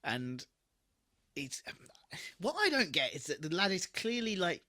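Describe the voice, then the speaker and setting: British male co-host, fairly high and very bright voice, emphatic, informal, foul-mouthed banter. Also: big pitch swings, unhurried, no room sound, clean studio sound. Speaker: podcast co-host. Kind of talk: casual TV re-watch chat.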